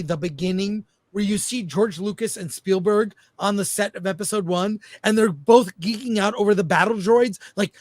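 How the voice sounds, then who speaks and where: A man with a notably high voice hosts a casual talk show. He tells stories with enthusiasm, and his voice is recorded very dry, with no room sound. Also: no room sound. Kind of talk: casual panel chat, telling anecdotes.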